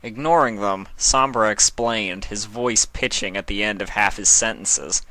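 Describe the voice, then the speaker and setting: A man reading scripted fan fiction narration in a measured, expressive way. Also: lowish voice, no room sound, low-quality recording.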